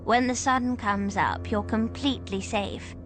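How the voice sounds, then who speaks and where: A woman playing a quietly menacing old Scottish landlady in a ghost story, speaking in a slow, hushed, ominous way. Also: a little high, fairly even intonation, soft background music. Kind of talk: dramatised ghost story reading.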